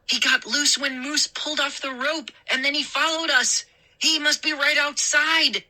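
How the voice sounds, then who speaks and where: A woman's voice: high-pitched, steady tone, normal speed, sharp tone, voice pushed up, rough recording. A woman voicing an anxious young boy in a children's story. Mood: urgent. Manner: urgent, pleading.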